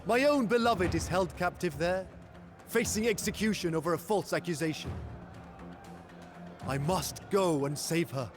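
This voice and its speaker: An actor playing a passionate, grave war commander and devoted lover, narrating a game cutscene in a solemn, theatrical way. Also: male voice, high voice, moderate pace, long pauses, close to an everyday voice. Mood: rousing, defiant. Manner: solemn, theatrical storytelling, intense. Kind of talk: dramatic scripted first-person game cutscene narration. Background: music.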